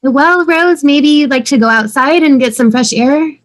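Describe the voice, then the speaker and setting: A woman's voice: high voice, moderate pace, natural voice. A woman playing a polite, earnest young student with a careful, diplomatic manner in a drama table read. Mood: pointedly polite.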